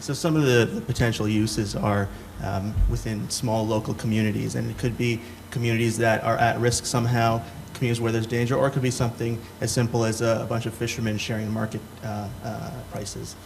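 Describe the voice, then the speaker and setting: A man co-presenting in a live talk, with a halting, hesitant delivery. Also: mid-range voice, little pitch movement, vocal fry, a little hiss or hum.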